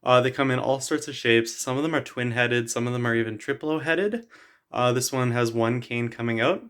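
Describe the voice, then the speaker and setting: A man vlogging about a houseplant in a chatty, enthusiastic way, with many "uh" fillers. He is a little hoarse from losing his voice. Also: mid-range voice, normal speed, fairly bright tone. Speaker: plant vlogger. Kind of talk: casual show-and-tell.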